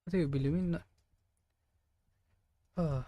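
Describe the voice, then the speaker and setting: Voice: mid-range voice, fairly even intonation, unhurried, muffled recording. A game streamer giving casual gameplay commentary in a rambling, thinking-aloud way. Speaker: game livestreamer.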